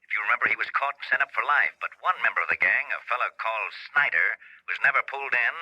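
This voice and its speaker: A brisk policeman heard through a telephone filter. He talks quickly in a piercingly sharp, businesslike voice, briefing urgently in vintage radio crime style. The sound is muffled and old. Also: mid-range voice, lively intonation, dry recording. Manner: urgent, matter-of-fact briefing. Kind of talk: 1940s radio crime drama acting.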